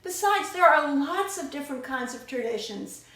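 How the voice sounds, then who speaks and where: A woman's voice: sits high, lively intonation, normal speed, a bit bright, roomy sound. A woman voicing an eager, pleading little girl who is insistent and gushing, in a children's storybook.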